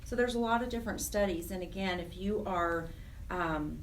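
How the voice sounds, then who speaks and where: A woman's voice: fairly low pitch, moderate pace. An American woman explaining things earnestly and emphatically as a guest in a radio interview. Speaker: interview guest, program director of a nonprofit chapter. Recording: distant mic with faint noise.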